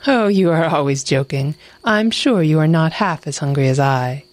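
A woman voicing a sly, polite mother fox who is thin and hungry, talking smoothly and flatteringly. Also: low-pitched, moderate pace, no room sound, natural voice.

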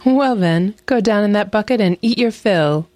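Narrator doing a sly lady fox from a fairy tale, way higher voice, sweet talking and teasing. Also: moderate pace, a little mellow, dry recording, close to an everyday voice. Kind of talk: animal fairy tale storytelling.

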